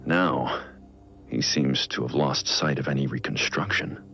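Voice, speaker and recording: Guilt-ridden vigilante, middle-aged, rock-bottom pitch, grave measured delivery, game audio log, music behind. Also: male voice, bright, forceful tone, full character voice. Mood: analytical, somber. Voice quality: deep, gravelly. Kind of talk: scripted audio log narration, video game acting.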